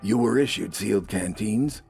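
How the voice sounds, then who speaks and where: A man's voice: lowish voice, moderate pace, vocal fry, darkish tone, a little hiss or hum, voice pushed up. A man voicing a grim, unflappable army corporal in an audio story, curt and commanding.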